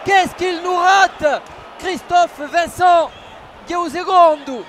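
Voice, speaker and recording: Male football radio commentator, very high voice, exuberant, over loud stadium crowd. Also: takes it slowly. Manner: exuberant, passionate, often shouting. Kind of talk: excited live match commentary. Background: noisy football stadium crowd.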